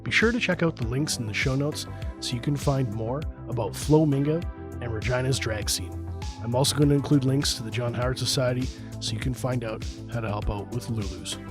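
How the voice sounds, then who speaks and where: A man hosting a podcast, chatting in an easygoing way with a guest and reading the show's outro. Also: medium pitch. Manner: easygoing, giggly. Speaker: podcast host. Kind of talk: casual podcast chat and scripted outro.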